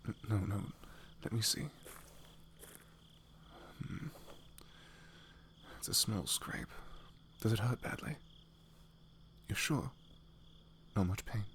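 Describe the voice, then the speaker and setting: A man's voice: fairly low pitch, takes it slowly, long pauses, fairly bright tone, slight background noise. A man playing a devoted, protective young knight in love, tender and hesitant, in a romantic fantasy ASMR roleplay. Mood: tender, worried.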